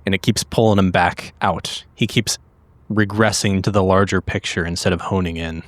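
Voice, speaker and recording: A man playing a tabletop game, describing his character's actions in a thoughtful, halting way. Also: low voice, steady tone, no echo.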